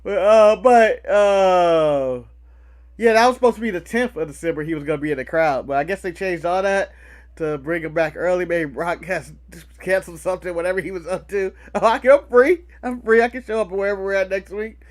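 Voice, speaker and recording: High-voiced man chatting casually about sports. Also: brisk. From United States. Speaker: podcast co-host.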